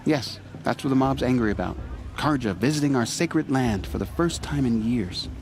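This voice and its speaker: A man playing a gentle, grateful young tailor in a video game, eager and polite with soft humor. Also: mid-range voice, animated, normal speed, natural voice. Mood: helpful, wry.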